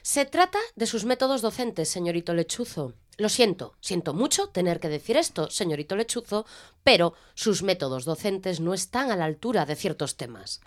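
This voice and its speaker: A woman plays a stern school headmistress in an audio drama. She is formal and guarded, scolding with authority in a lively, swooping intonation. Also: fairly low pitch, moderate pace. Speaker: actor.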